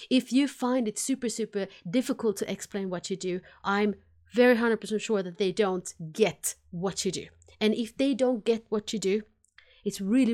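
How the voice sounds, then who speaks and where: A woman from Finland with a Finnish-Swedish accent, hosting a solo business podcast and coaching listeners directly, stressing points by repeating words. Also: medium pitch. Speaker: podcast host and business consultant.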